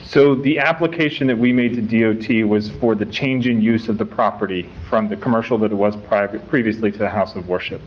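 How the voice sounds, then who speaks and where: A man testifying as a traffic engineer at a township board meeting, giving measured, technical answers from a podium. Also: lowish voice. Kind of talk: formal testimony at a public meeting. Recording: muffled room recording.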